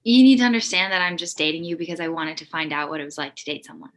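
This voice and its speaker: A woman's voice: sits low, animated, racing. A woman playing a blunt, exasperated sixteen-year-old girl in a comedy script table read.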